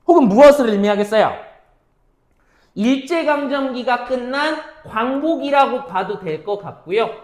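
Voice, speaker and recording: A woman teaching exam-prep literature, firing off questions and answering them herself, mixing casual and polite speech. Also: medium pitch. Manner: mixes casual and polite speech, checks understanding often. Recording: roomy echo.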